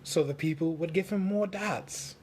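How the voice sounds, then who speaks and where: A man reading a storybook aloud for children in simple, deliberate phrases. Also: a little high, darkish tone, slight background noise.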